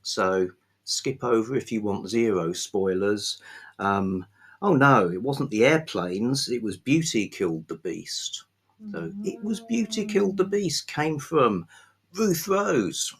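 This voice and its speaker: A man hosting a book vlog, chatting casually without a script in a rambling, halting way with pauses mid-phrase. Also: medium pitch, expressive, slightly rough. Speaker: host of a book review vlog. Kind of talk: casual unscripted book chat.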